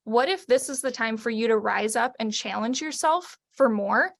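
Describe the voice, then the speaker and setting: A woman's voice: a little high, normal speed, gravelly, fairly bright tone. A woman giving a testimonial on a video call, preaching in rhetorical questions. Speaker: company coach.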